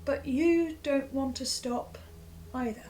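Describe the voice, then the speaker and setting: A woman playing a tormented, hungry archivist in a halting, theatrical horror monologue addressed straight to the listener. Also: high-pitched, warm tone, low-quality recording. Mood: brooding, confessional. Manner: theatrical, halting, swinging from whispers to outbursts. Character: tormented, obsessive, hungry archivist confessing to the audience. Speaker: host of a horror listen-along series. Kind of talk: dramatic in-character monologue to the listener.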